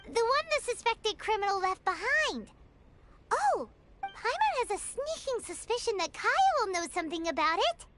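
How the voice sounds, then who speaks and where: A woman voicing a tiny, childlike, cheeky girl companion in an anime video game, squeaky and excitable. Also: moderate pace. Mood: wary, suggesting. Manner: refers to self in third person, exclaims. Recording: game music behind the voice.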